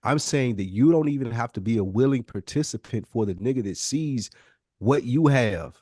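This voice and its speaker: A man's voice: mid-range voice, darkish tone, dry recording, clean studio sound. A man co-hosting a podcast, explaining his point insistently in a casual debate. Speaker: podcast co-host.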